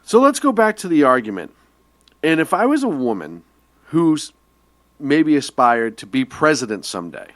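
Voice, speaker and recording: A man's voice: fairly high pitch, very animated, moderate pace, no echo. A man co-hosting a radio talk show, teasing his partner and laughing often through political banter.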